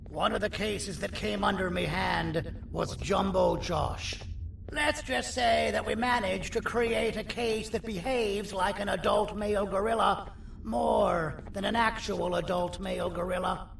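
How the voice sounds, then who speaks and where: An actor playing a vain, sardonic four-armed creature surgeon in a video game, with a gravelly, theatrical, bossy delivery. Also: male voice, high voice, a bit bright, full character voice. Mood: proud, explaining. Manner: wry, theatrical, self-important. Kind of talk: video game character dialogue. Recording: roomy echo, distant, faint noise.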